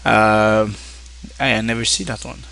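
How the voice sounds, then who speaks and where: A man from Quebec whose first language is French, speaking English with a Québécois French accent, talking casually to his viewers in a halting way with many fillers and restarts. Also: fairly low pitch, flat delivery, creaky, bright, forceful tone, a little hiss or hum, low-quality recording. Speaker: streamer. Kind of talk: casual thank-you talk to viewers.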